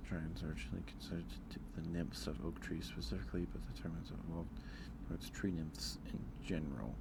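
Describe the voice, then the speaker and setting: A man's voice: low-pitched, fairly even intonation, brisk, creaky, very noisy. A man streaming ASMR in a casual, hesitant way, repeating his phrases as he thinks aloud. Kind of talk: ASMR livestream, casual chat ranking things.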